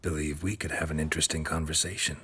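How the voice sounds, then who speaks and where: A man playing a stoic, gruff, middle-aged monster hunter in a video game, with a gravelly voice and terse, deadpan delivery. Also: quick pace, slight background noise. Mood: dry, probing. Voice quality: gravelly, husky low voice.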